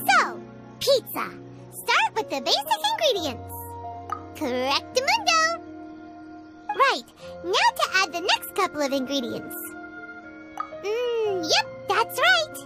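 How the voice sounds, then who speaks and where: A woman voicing a tiny, excitable fairy companion in a video game, squeaky and childlike, speaking of herself in the third person. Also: slow pace, pauses often, heavily acted. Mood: cheerful. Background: game music.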